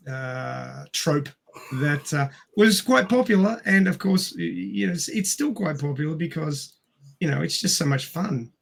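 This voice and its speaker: A man's voice: fairly high pitch, gravelly. A man hosting a casual movie discussion and recapping plots, his throat sore from coughing. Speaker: podcast host.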